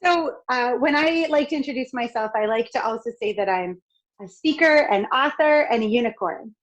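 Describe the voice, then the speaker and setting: A middle-aged woman from Canada with a raspy, crackly voice, talking in an outgoing, expressive way as a podcast guest. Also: on the high side, moderate pace, muffled recording. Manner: outgoing, expressive, laughs often. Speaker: podcast guest, speaker and author. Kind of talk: upbeat conversational interview.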